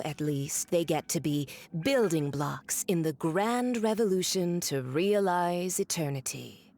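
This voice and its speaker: A woman's voice: on the low side, a little mellow, slight background noise. A woman voicing a haughty, cruel noblewoman villain who speaks in a cold, condescending, mocking way in a video game. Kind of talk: video game cutscene acting.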